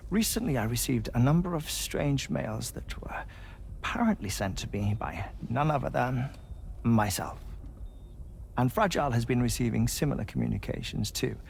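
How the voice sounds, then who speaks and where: Gravelly, formal scientist with precise diction. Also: male voice, mid-range voice, lively intonation, moderate pace, darkish tone, a little hiss or hum. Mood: troubled, explanatory. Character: erudite, formal male scientist, anxious and polite. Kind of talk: cinematic video game dialogue. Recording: music behind the voice.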